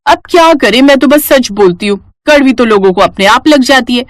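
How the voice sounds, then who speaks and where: A woman voicing a short, sharp-tongued, bratty young sister-in-law in a story, whiny, scolding and sarcastic. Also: high-pitched, lively intonation, a little fast, no echo, clean studio sound, pitched above the performer's own voice. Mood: defiant, whiny.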